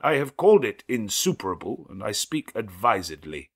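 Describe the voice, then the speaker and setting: A man voicing a resolute, formal gentleman in an audiobook, speaking distinctly, calmly and steadily as an accuser. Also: on the low side, breathy edge, full character voice. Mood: firm, calm. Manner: distinct, calm, steady, deliberate. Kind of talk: audiobook character acting.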